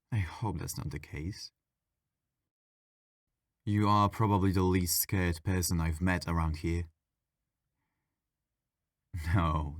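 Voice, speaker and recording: Casting: male actor for a petulant ghost in an audio roleplay; lowish voice, casual sass, dramatic griping, very dry sound. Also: dry recording. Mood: softer, wistful. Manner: sassy, casual, dramatic complaining. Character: petulant, mischievous male ghost haunting a public library. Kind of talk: ASMR audio roleplay, conversational acting.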